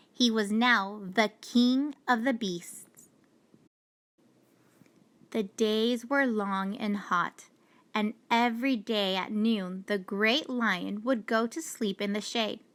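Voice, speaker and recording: Slow, bright-voiced woman reading a storybook. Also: a little high. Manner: deliberate, expressive storytelling. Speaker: storybook reader. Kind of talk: children's read-aloud narration.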